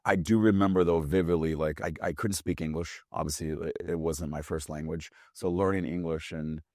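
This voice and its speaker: A man in his forties, born in Brazil and native in Portuguese, telling his life story as an interview guest, animated, with frequent restarts. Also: rumbling low, brisk, dry recording, studio quality. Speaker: guest, business owner.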